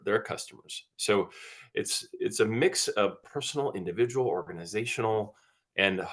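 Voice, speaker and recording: Deep-voiced man hosting an interview. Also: creaky, fairly bright tone. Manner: affirming, earnest, frequent mm-hmms. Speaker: interview host. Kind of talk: casual interview chat.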